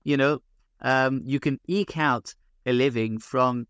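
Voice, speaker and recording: A middle-aged man from London with a London English accent, speaking as a guest rabbi in a podcast interview, measured and full of fillers and restarts. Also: medium pitch, fairly bright tone, smooth, no room sound, studio quality. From England.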